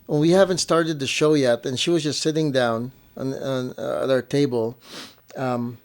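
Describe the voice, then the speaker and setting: A man's voice: mid-range voice, steady tone, normal speed, darkish tone. A young man talking as a parent co-host in casual storytelling, often repeating and rephrasing phrases for emphasis. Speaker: parent co-hosting a family show.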